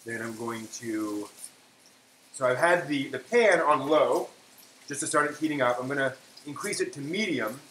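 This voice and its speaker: A man hosting a casual cooking live stream, thinking aloud in a halting way and often trailing off. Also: medium pitch, fairly bright tone. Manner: halting, self-talking, trailing off. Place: indoor kitchen. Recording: echoey room, background noise.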